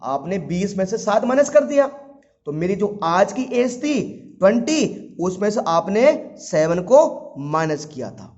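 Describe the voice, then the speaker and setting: A man's voice: high voice, very animated, unhurried, a little mellow. A man gives an informal maths lesson. He goes over each step repeatedly, asking his students questions and answering them himself. The audio is dull and lo-fi. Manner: addresses students fondly as children. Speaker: maths teacher.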